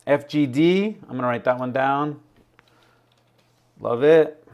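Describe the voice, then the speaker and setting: A man casually hosting a livestream, rambling, laughing often and reading out viewer chat, his voice a little raspy. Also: mid-range voice, slow, a little mellow. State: slightly raspy voice. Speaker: livestream host.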